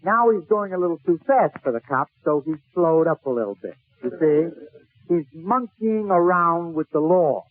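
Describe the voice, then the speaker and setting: High-voiced man, tinny old radio comic. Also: lively intonation, normal speed, dry recording. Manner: theatrical delivery in short, punchy present-tense phrases. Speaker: comedian. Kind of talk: 1940s radio comic storytelling. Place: radio broadcast. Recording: tinny, narrow-band 1940s radio recording.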